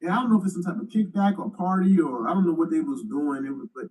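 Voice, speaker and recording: Slangy man musing out loud. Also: fairly high pitch, lively intonation, fast, recorded from a distance, rough recording. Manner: slangy, loose, repeats himself. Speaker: YouTuber. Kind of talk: casual commentary.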